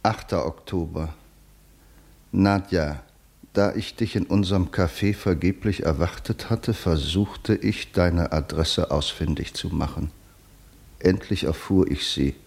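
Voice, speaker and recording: A reflective writer reads out his diary in a radio play. His voice is deep and husky, and his delivery is measured and formal. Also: darkish tone, exaggerated voice. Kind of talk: literary radio drama narration.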